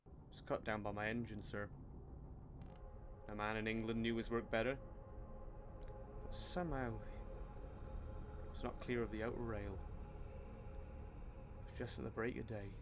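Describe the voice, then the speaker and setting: An actor playing a deferential, plain-spoken Victorian engine driver, shaken, in a radio play. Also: male voice, on the low side, level tone, unhurried, long pauses, over music. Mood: sombre. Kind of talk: dramatic radio play acting.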